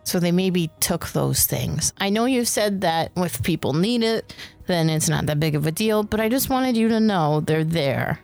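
A woman's voice: lowish voice, lively intonation, moderate pace, vocal fry, darkish tone, faint music behind. A woman playing in a tabletop role-playing podcast, talking casually, partly in character in her everyday voice. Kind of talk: casual in-character game chat.

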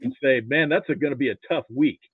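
An American man from Nebraska chatting casually about sports as a guest, haltingly repeating words as he thinks. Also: medium pitch, breathy edge, no echo. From Nebraska, United States. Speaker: podcast guest, sports fan. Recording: muffled phone-like line.

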